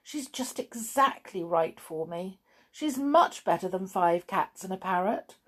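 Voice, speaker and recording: A woman's voice: medium pitch, lively intonation, unhurried. A woman voicing a kindly, lonely storybook spinster, fussy and protective, speaking with emphatic emotion. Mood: sad, confessing.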